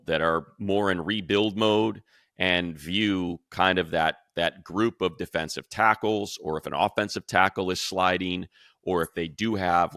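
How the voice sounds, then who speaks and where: A man's voice: deep voice, pushed and bright, no echo, studio quality. A man speaking as a sports show host, giving casual, conversational analysis in an emphatic, rambling way.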